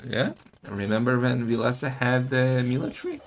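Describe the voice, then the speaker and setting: A man giving excitable, casual live esports commentary, full of exclamations and questions. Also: medium pitch, moderate pace.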